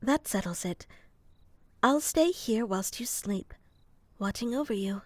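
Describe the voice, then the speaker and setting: A woman voicing a gentle, slightly scatterbrained female moth creature in a sleep roleplay. She speaks softly and slowly into a close microphone and sometimes drops to a whisper. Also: a little high, lively intonation, no echo. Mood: whispered, tender. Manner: soft-spoken, slow and musing, slipping into whispers. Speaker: ASMR performer.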